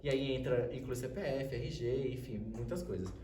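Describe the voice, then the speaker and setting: A young Brazilian man speaking informally as a student on a panel, halting and searching for words, recorded roomy and from a distance. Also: medium pitch, mellow. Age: young adult. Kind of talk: informal spoken panel presentation. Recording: roomy, distant mic with faint noise.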